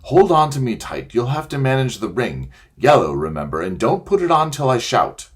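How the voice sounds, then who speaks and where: Guy doing a determined young boy, eager and bossy, husky voice. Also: mid-range voice, sing-song, moderate pace. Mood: urgent. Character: plucky boy, quick and determined.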